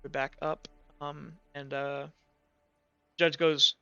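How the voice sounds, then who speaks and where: A man improvising casual tabletop narration as game master, in a halting, informal way. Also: a little high, level tone, vocal fry, sharp tone, clear, resonant tone, dry recording, dull audio.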